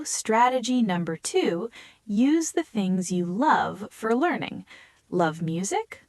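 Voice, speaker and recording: Slow, clear woman co-host. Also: a little high, no room sound. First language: German. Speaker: podcast co-host. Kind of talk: scripted learner podcast chat.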